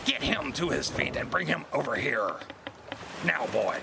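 Against clear shipboard noise, a domineering, vengeful captain in a nautical audio drama. His gravelly voice swings wildly in pitch. He talks like an old-time sailor, menacing and full of contempt. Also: sing-song, measured pace. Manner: commanding, menacing, archaic sailor speech.

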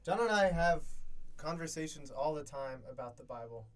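Man, twenties, easygoing, slightly high voice, casual podcast chat, dorm room. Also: lively intonation, normal speed, slight background noise. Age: 20s. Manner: easygoing, laughs often, many fillers. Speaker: podcast co-host.

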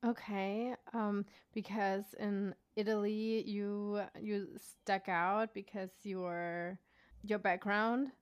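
A woman's voice: mid-range voice, flat delivery, slow, clean tone, no room sound, lo-fi sound. A woman hosting a podcast, friendly and chatty, asking questions with little laughs and backchannels. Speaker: podcast host. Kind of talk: casual interview conversation.

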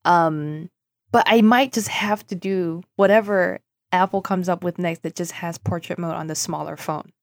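A woman from Singapore chatting casually as a podcast guest, informal and animated in manner. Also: on the low side, moderate pace, creaky, no room sound. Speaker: podcast guest, tech journalist. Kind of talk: casual chat.

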